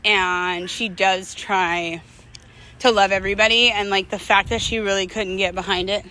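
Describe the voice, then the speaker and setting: A woman's voice: medium pitch, fairly even intonation, moderate pace, pushed and bright. A woman talking casually and candidly to her viewers in a reflective, personal monologue, using conversational slang. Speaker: vlogger telling personal stories to viewers.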